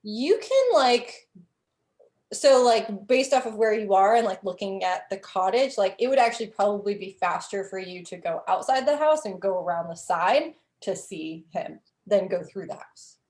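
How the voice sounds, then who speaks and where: A woman's voice: medium pitch, lively intonation, moderate pace, a little mellow. A young adult woman narrating as game master in a casual way, full of "like" and "um" fillers.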